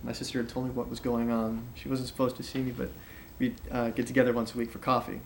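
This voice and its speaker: Actor as an awkward grown son, halting stammer, flat intonation, creaky voice, naturalistic film drama. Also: male voice, medium pitch, slight background noise, lightly acted. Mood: guarded. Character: awkward adult son under questioning. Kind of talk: naturalistic film acting, interview testimony.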